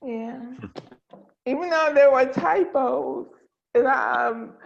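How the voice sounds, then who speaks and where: High, creaky-voiced woman, slow and casual. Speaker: scriptwriter asking for feedback. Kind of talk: casual chat. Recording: muffled lo-fi audio.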